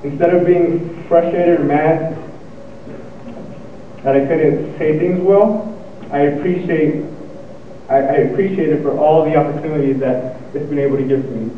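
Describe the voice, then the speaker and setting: Student speaker, young man, stuttering delivery, slightly high voice, heard from far off in a reverberant hall. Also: steady tone, slight background noise. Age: young adult. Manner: stuttering, pausing and repeating words. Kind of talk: motivational speech. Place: lecture hall. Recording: echoey hall, tinny and far off.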